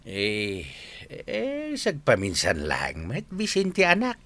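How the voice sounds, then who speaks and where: An actor playing a boastful, hard-drinking old father in a radio comedy drama, halting and mumbling with chuckles and filler sounds. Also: on the low side, wildly swooping pitch, fairly bright tone, heavily acted. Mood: sheepish. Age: older.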